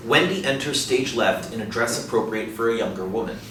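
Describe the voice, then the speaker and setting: A man's voice: mid-range voice, brisk, a bit bright. A man reading out stage directions as scripted narration. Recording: echoey room, distant mic, faint noise.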